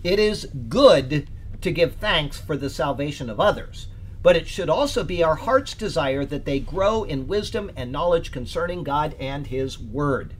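A man's voice: a little high, lively intonation, measured pace, poor audio. A man teaching a Bible study in a loose, conversational way, rambling with frequent fillers and restarts. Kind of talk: casual Bible study talk.

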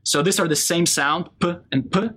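A man's voice: a little high, moderate pace, slightly rough. A man from Israel, a Hebrew speaker, teaching a Bible lesson and quizzing his audience. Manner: animated, asks the audience questions. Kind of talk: classroom lesson with a live audience.

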